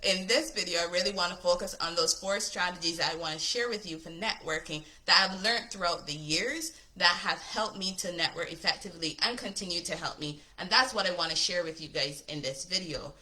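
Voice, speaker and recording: Casting: chatty woman from Barbados, a coach, with a fairly low, bright voice. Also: brisk, hardly any pauses. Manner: chatty, upbeat, says "right?" often. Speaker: business coach. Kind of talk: casual talk to camera.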